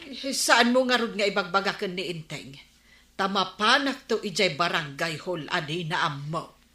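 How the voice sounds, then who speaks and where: An indignant, suspicious wife in a comedy radio drama, with an Ilocano accent. She nags and exclaims in a bright, forceful voice that rings in a roomy space. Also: fairly low pitch, lively intonation, moderate pace. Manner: nagging, scolding, exclamatory.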